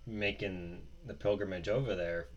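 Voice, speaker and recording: A man's voice: low voice, normal speed, muffled recording. A man hosting a casual conversation, laid-back and slangy, asking easygoing questions. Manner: laid-back, short responses and slangy reactions.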